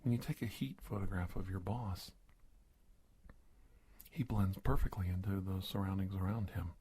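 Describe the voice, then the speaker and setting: A man playing a polite, nervous middle-aged investigator in an ASMR roleplay, soft-spoken and halting, often slipping into a whisper. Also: fairly even intonation, slight background noise, lightly acted. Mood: confiding. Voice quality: husky, breathy, gravelly low voice. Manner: halting, hesitant, courteous, deliberate phrasing.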